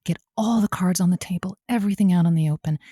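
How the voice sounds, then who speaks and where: A woman's voice: lowish voice, quick pace, warm tone, breathy edge, no room sound, clean studio sound. Woman playing a frank, wry radio advice host. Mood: wry, reassuring. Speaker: call-in advice show host. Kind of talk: improvised comedy radio advice talk.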